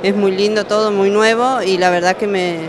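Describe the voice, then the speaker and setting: A man's voice: sits high, fairly even intonation, normal speed. Man speaking over expo noise. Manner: plain, with "eh" fillers. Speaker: expo visitor. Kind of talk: trade fair interview. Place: indoor exhibition hall. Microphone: handheld interviewer microphone. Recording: news camera at noisy expo.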